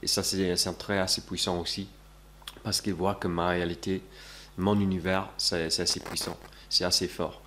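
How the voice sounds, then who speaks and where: A man's voice: low-pitched, little pitch movement, normal speed, bright, forceful tone, a little hiss or hum. A young man seated in a small office, earnestly explaining his approach to the camera as a coach. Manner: earnest, coaching, many restarts. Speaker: dating coach. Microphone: camera at close range.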